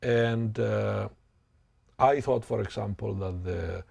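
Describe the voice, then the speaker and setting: A man, a professor thoughtfully recounting his life in an interview, pausing to find words. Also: fairly low pitch, gravelly, darkish tone, husky. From Greek background. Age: 60s. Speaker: sociology professor. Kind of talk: interview, reflective personal account.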